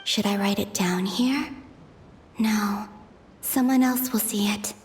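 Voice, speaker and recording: A woman voicing a gentle, pensive young moon goddess in a video game, speaking softly in measured, introspective monologue. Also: medium pitch, a little hiss or hum. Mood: realizing, resolved.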